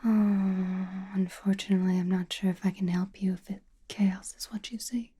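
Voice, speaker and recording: A woman playing an enigmatic, knowing sorceress in an ASMR roleplay, in a soft breathy whisper, speaking slowly and cryptically straight to the listener. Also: medium pitch, flat delivery, lightly acted. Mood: cryptic, probing. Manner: slow, deliberate, cryptic, addressing listener intimately.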